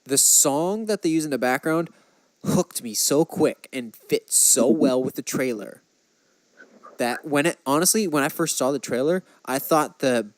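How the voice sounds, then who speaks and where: A man's voice: fairly high pitch, measured pace, no echo, poor audio. A man co-hosting a podcast, chatting casually and enthusiastically about his opinions as a fan. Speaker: podcast co-host. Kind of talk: casual movie chat.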